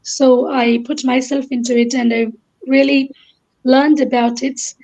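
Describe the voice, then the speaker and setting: A woman's voice: high-pitched, level tone, a little slow, mellow. A woman from Kerala with a Malayali Indian accent, a native Malayalam speaker, giving a hesitant personal account in short phrases as an interview guest on a lo-fi online call. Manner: hesitant, piecing thoughts together in short phrases.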